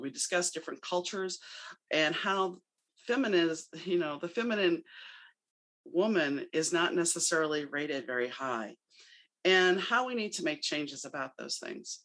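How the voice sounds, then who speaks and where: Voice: mid-range voice, fairly bright tone. A podcast host introducing a guest and asking questions in a friendly, conversational way. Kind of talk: casual interview.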